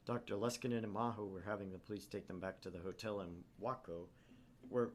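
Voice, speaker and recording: A man's voice: lowish voice, fairly quick, darkish tone, slight background noise. A man hosting a gaming channel, narrating a visual novel aloud with theatrical, irreverent casual asides.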